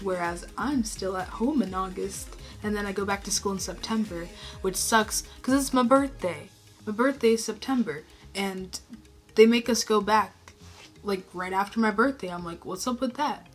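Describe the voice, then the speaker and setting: Teen girl vlogger, low flat-ish subdued talk, rambling, faint music under. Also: moderate pace. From New York State. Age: teens. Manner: low subdued voice, self-conscious, rambling with many fillers. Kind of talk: casual rambling to camera. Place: her bedroom. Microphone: camera in her room.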